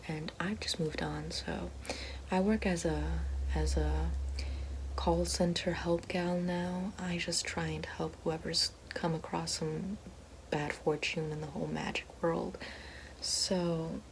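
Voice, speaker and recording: A woman playing a bitter, sassy fallen evil fairy working a help line, in a breathy whispered ASMR roleplay with slangy sarcasm. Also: unhurried, a little hiss or hum. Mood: bitter, dry. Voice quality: breathy whisper mixed with soft low speech.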